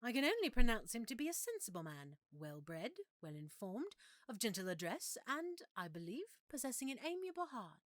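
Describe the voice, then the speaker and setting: A woman's voice: on the high side, big pitch swings, moderate pace, no room sound. A woman playing a reserved, judgmental well-bred period character with formal, cool, precise phrasing. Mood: cool, disdainful. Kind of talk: period literary drama acting.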